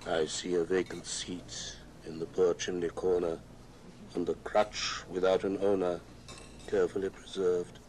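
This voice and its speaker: An actor playing a jolly, hearty giant ghost with theatrical, drawn-out delivery in an old radio drama. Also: male voice, low voice, little pitch movement, a little mellow, slight background noise. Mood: solemn. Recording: old record, music behind.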